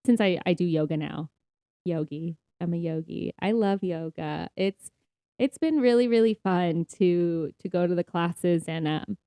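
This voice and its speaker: Chatty lady podcast host, soft warm tone, dry close sound, upbeat, says like and you know a lot. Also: on the low side, unhurried, clear, resonant tone, dry recording. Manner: upbeat, laughs often while talking. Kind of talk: casual podcast chat.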